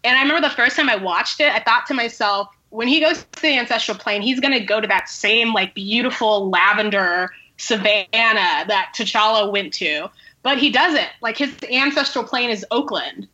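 High, bright-voiced woman, slightly dull recording. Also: moderate pace. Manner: reflective, conversational, frequent "like" and "you know". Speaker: podcast panelist. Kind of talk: casual discussion.

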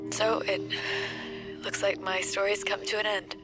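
An actress playing a resolute young woman giving an intimate farewell monologue, soft and sometimes whispery. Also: mid-range voice, little pitch movement, measured pace, lightly acted, rough recording. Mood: tender, resigned. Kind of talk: intimate recorded farewell monologue, audio drama. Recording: music behind the voice.

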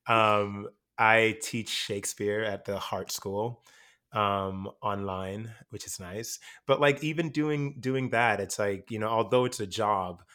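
A young man born in Jamaica speaks in a fairly low register. He talks casually and reflectively in an interview, circling back with fillers and restarts as he thinks. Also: slow pace, a bit bright. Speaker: actor and teacher.

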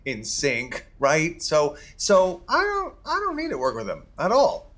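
A stammering, neurotic composer. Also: male voice, a little high, wildly swooping pitch, a little slow, a bit bright, full character voice. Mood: flustered. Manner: stammering, nervous. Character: neurotic male theater composer, stammering and avoidant. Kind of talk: audio comedy drama.

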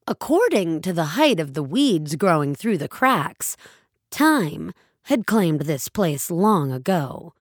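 A woman reading scripted story narration, expressive and deliberate, as the narrator of a tale. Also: on the low side, no room sound, studio quality.

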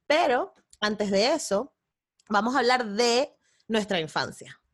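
A woman's voice: on the high side, expressive, unhurried, fairly bright tone. A woman hosting a casual podcast interview, chatty, laughing often and tossing in English words. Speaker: podcast host.

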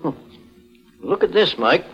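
An actor playing a calm, shrewd gentleman detective, questioning formally in the style of a 1940s radio mystery. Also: a little high, gravelly, slight background noise, exaggerated voice. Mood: firm. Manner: measured, probing, formal questioning. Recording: muffled vintage 1940s radio recording.

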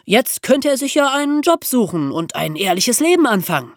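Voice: mid-range voice, big pitch swings, normal speed, no echo, clean studio sound. A podcast presenter explaining history as a story, with dramatic emphasis and rhetorical questions.

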